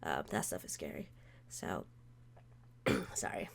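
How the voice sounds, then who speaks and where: A woman's voice: rumbling low, takes it slowly, vocal fry. A young woman streamer, congested from allergies, chatting in a rambling way with many fillers while playing a visual novel. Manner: rambling, filler-heavy, self-interrupting. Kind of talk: casual let's-play commentary.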